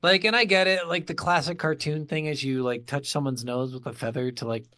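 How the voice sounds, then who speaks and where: A man's voice: fairly high pitch, steady tone, fairly quick, sharp tone. A man co-hosting a video game podcast, chatting casually with heavy swearing and incredulous rants, acting out lines he quotes. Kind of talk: casual, profane game review chat.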